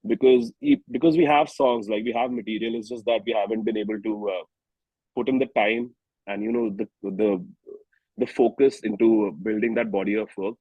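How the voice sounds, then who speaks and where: A man's voice: fairly low pitch, moderate pace, breathy edge, dry recording, lo-fi sound. A man in his thirties with an Indian accent, chatting casually as a musician being interviewed. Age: 30s. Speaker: singer-songwriter and musician interview guest.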